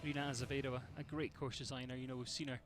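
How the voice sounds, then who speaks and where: A man's voice: mid-range voice, little pitch movement, racing, music in the background. A man from Scotland with a Scottish accent, giving chatty live commentary on a show jumping event and greeting viewers by name.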